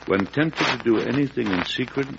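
An actor playing a measured, principled American president in an old radio drama, speaking frankly and deliberately. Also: male voice, medium pitch, animated, creaky. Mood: solemn. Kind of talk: 1940s-50s radio drama acting and narration. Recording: dull, noisy old radio recording.

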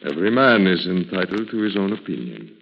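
Sinister male mystic, very low and formally courteous; old radio thriller, muffled and reverberant. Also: very animated, a little fast, slight background noise, heavily acted. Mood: suave, guarded. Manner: formal, smooth-spoken, commanding. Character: sinister suave male fortune teller, menacing and falsely courteous. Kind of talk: old-time radio drama acting.

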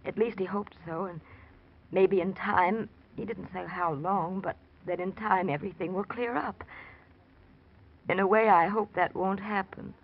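A woman's voice: mid-range voice, fairly even intonation. An actress playing a fragile, injured woman with a hazy memory, hesitant and uneasy, in 1940s radio drama style. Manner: hesitant, halting. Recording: muffled vintage radio recording.

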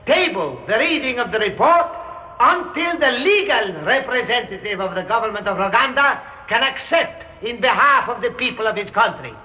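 A man playing an indignant diplomat from the Eastern bloc, giving a formal, accusatory speech in a classic radio drama. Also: very high voice. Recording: dull old radio recording.

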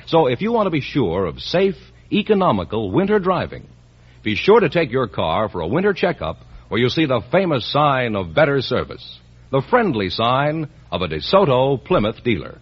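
A man reading a 1950s radio commercial in a smooth, persuasive sales manner. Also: mid-range voice, huge pitch swings, normal speed. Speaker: commercial announcer. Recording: dull old radio broadcast.

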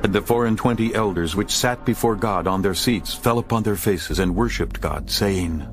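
A man narrating a dramatized scripture audiobook in a solemn, measured manner. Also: lowish voice. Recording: music behind the narration.

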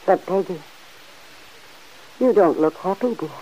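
A gentle, worried old aunt. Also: female voice, on the low side, lively intonation, unhurried, slight background noise, poor audio. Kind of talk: 1940s radio acting.

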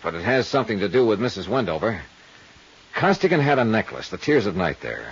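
A man's voice: on the low side, sing-song, fairly quick, husky, lo-fi sound, exaggerated voice. A man acting a hard-boiled, unflappable private investigator in a 1950s radio detective drama, with a clipped, dry, matter-of-fact delivery. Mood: brisk, insistent. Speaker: lead actor and narrator of radio drama.

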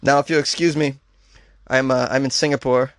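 A man's voice: medium pitch, steady tone, brisk, no echo, clean studio sound. A man hosting a comedy show, reading odd news stories aloud and riffing on them with jokey, mock-incredulous asides, laughing at his own jokes. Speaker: host.

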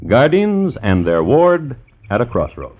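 A man hosting and narrating a scripted true crime radio show in a dry, droll, deliberate manner. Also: medium pitch, huge pitch swings, breathy edge. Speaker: host and narrator. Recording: muffled vintage radio recording. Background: music.

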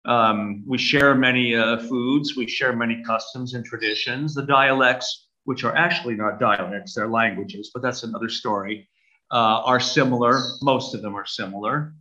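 An older man with a Brooklyn New York accent talks slowly and deliberately, often pausing on fillers. His voice is bright and a little sharp. The recording sounds dull and lo-fi. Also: medium pitch. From United States. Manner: measured, hesitant with many "uh" fillers. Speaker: archbishop guest. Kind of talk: interview conversation. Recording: dull lo-fi audio.

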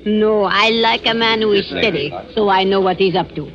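A woman's voice: medium pitch, lightly acted. An actress playing a nosy, insinuating village shopwoman in a 1940s radio drama, with a pointed busybody manner. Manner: drawn-out, pointed, busybody delivery. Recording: muffled 1940s radio, music behind.

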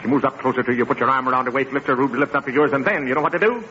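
A man playing a pushy, smooth-talking press agent who rattles things off confidently in a 1940s radio comedy sketch. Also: mid-range voice, rapid-fire, sharp tone, natural voice. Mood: teasing. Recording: noisy, muffled 1940s radio recording.

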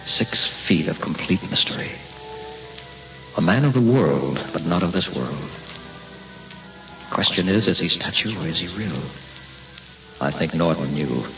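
A determined family man turned investigator in a vintage radio drama. His deep voice broods in clipped, terse phrases. It comes through a dull, roomy old recording with music underneath. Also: vocal fry, exaggerated voice.

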